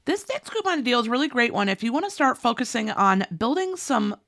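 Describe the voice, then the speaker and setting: A woman's voice: a little high, very animated, a little fast, creaky, fairly bright tone. A woman, hosting a couponing channel and chatting through deals while losing her voice. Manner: bubbly and chatty, listing items and prices. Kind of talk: upbeat scripted deal rundown.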